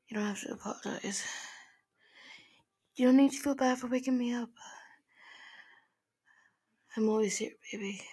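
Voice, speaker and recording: A woman playing a tender, sleepy girlfriend who comforts her partner in a gentle, halting, hushed ASMR roleplay, often slipping into a whisper. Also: medium pitch, vocal fry, husky. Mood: soothing.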